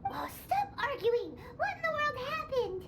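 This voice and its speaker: A woman voicing a tiny floating girlish companion creature in a video game, chirpy and quick to scold. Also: extremely high pitch, very animated, noisy background, heavily acted. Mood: exasperated.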